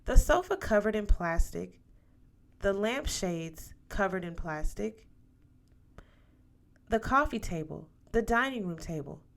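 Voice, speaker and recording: A woman's voice: mid-range voice, lively intonation, unhurried, vocal fry, long pauses. A woman reading a novel aloud as a scripted narration for young listeners. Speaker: audiobook reader.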